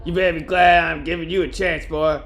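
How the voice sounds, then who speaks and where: A man's voice: on the high side, steady tone, fairly quick, fairly bright tone, lightly acted. A man voicing a bossy, irritable woman who runs a bar, in an amateur novel reading. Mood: stern.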